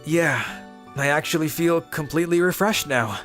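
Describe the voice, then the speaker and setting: A woman voicing a sleep-deprived, shy teenage schoolboy in a manga dub, soft-spoken and hesitant. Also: deep voice, moderate pace. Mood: shy polite. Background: faint music.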